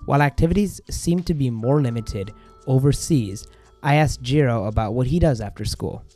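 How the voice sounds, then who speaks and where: A young man hosting a student podcast, reading scripted linking narration in a measured way. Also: medium pitch, mellow, faint music behind. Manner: measured, reads linking lines. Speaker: host.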